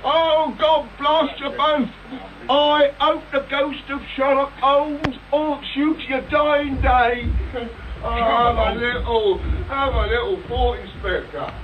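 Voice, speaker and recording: An actor playing a wheedling, down-on-his-luck British impostor in a radio play, whining and pleading in rough colloquial speech. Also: very high voice, steady tone, takes it slowly, distant mic. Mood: desperate. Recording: dull, hissy old radio tape recording.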